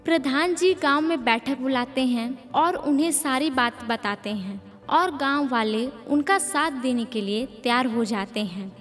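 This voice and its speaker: Lady narrating a cartoon folk tale, high voice, lots of reverb, music behind. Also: measured pace, hardly any pauses, fairly bright tone, a little hiss or hum. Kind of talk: scripted cartoon story narration.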